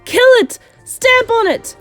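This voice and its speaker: Woman doing angry, yelling kitchen staff. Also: very high voice, very animated, slow pace, faint music behind, pitched above the performer's own voice, full character voice. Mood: alarmed, aggressive. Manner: urgent, loud yelling. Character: angry shouting kitchen staff hunting a mouse.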